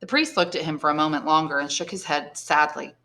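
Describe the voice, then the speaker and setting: A woman with a fairly low voice narrates a classic novel. Her reading is measured and expressive, with each clause phrased carefully, as a teacher reading aloud would.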